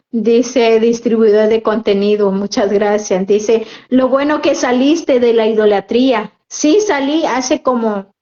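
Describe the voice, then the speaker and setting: Slow, earnest Chatino-speaking woman preacher. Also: fairly high pitch, a little mellow. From Oaxaca, Mexico. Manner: unhurried, earnest, chats with viewers between preaching. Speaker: lay preacher live-streaming. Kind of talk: live-stream Bible reflection reading viewer comments. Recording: muffled live-stream audio.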